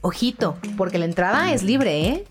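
A woman's voice: medium pitch, very animated, moderate pace, music in the background. A woman reading a scripted radio segment in an upbeat, slangy style. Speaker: segment narrator.

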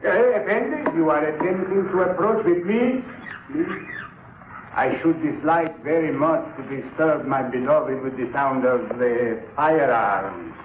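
Courtly foreign crook played by a male actor, fussily regretful, halting and flowery, on a roomy, narrow-band fifties radio recording. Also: a little high, lightly acted. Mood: pleading, regretful. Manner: ornately polite, hesitant, repeating himself. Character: courtly, regretful foreign smuggler and gambler doting on his birds. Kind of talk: 1950s radio drama acting. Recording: roomy, phone-like, faintly noisy 1950s radio recording.

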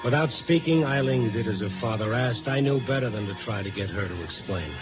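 A man's voice: mid-range voice, brisk, close to an everyday voice. Guy doing tough weary noir reporter, 50s radio play, blunt n clipped, music behind, narrow old sound. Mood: tense narration. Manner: hard-boiled, clipped, blunt. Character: tough, world-weary male Chicago newspaper reporter. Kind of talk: 1950s radio noir acting and narration. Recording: tinny 1950s radio recording.

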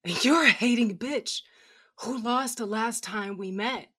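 An actress playing a hot-headed, drunken cyborg fighter, narrating in first person with slurred, sardonic defiance and foul-mouthed bite. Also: female voice, a little high, slow, pushed and bright, breathy edge, exaggerated voice. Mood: drunken rage.

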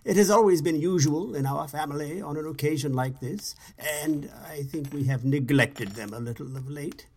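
Man putting on a husky, timid fairy-tale king. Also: a little high, normal speed, hardly any pauses. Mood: mild, timid. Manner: polite, hesitant, gently coaxing. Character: mild, timid, kindly old-fashioned fairy-tale king.

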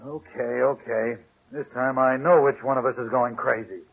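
A man's voice: mid-range voice, steady tone, moderate pace, very noisy, close to an everyday voice. An actor playing a blunt, impatient, exasperated American policeman in a 1940s radio mystery, slangy and agitated. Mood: gruff, impatient. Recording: muffled, band-limited 1940s radio recording.

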